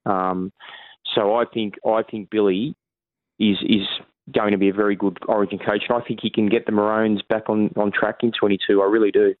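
An Australian man in a casual sports chat, talking at length and often restarting his sentences. His voice sits a bit low. It comes through a dry, narrow, phone-like line. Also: flat delivery, a little fast, dry recording. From New South Wales, Australia. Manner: talkative, many restarts and self-corrections. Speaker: rugby league journalist guest. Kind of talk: casual podcast interview.

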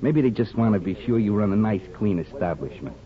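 An actor playing a smug, menacing gangster boss with tough, slangy, slyly insinuating talk in a 1950s radio drama. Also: male voice, fairly low pitch, animated, brisk, close to an everyday voice. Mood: smug, veiled menace. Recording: muffled 1950s radio broadcast.

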